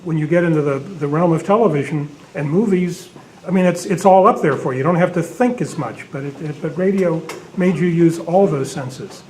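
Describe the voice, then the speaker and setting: A man's voice: fairly high pitch, fairly quick, darkish tone, low-quality recording. An older man, a club member, telling an informal anecdote at a meeting. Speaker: club member, old radio show dealer. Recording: background room noise.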